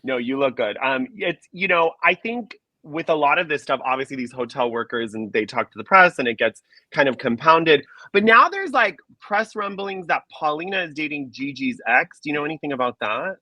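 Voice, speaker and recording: A man's voice: a little high, sing-song, moderate pace, hardly any pauses, sharp tone, no echo. A man hosting a casual gossip chat, campy and playful. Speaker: podcast host.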